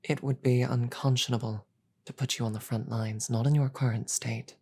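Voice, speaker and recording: A woman playing a regal, measured demon queen in an audio roleplay, grave and wryly amused, pausing deliberately and often slipping toward a whisper. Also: rumbling low, fairly even intonation, warm tone, breathy edge, heavily acted. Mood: grave, resolved. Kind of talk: scripted audio roleplay, slow dramatic delivery.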